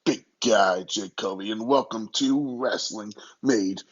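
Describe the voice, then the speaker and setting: A hoarse young man hosting a casual solo podcast from a hotel room, talking quietly and rambling. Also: lowish voice, slow, muffled recording. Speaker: podcast host.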